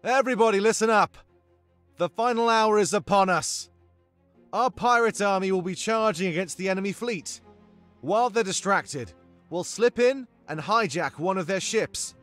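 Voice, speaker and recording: A man's voice: sits high, normal speed, a bit bright, soft background music, voice pushed up. A man voicing a bold rebel commander in a fantasy visual novel, emphatic and commanding as he addresses his troops.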